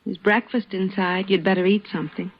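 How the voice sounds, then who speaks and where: An actress playing a brave, no-nonsense frontier woman doctor, brisk and earnest, in 1950s radio drama style. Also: medium pitch, fairly even intonation, slightly rough, lightly acted. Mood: calm, brisk. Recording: dull old radio recording, faint noise.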